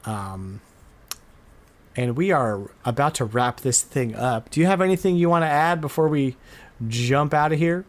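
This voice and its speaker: A man's voice: medium pitch, normal speed. A man hosting a podcast in an informal, enthusiastic, slangy way, chatting casually about retro games. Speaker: podcast host.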